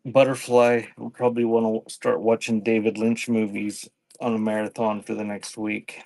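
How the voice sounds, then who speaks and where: A man with a fairly low voice hosts a casual live selling stream. He rambles informally, thinking out loud and letting sentences trail off before picking up again. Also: level tone, normal speed, vocal fry. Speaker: live online auction host selling cassette tapes. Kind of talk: casual rambling livestream chat.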